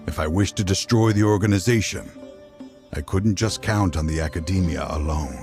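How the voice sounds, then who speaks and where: An actor playing a dying older scholar in a video game, grave, weary and resolute. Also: male voice, moderate pace, faint music behind. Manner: grave, weary, low-spoken. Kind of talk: video game cutscene voice acting. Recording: game dialogue over loud score.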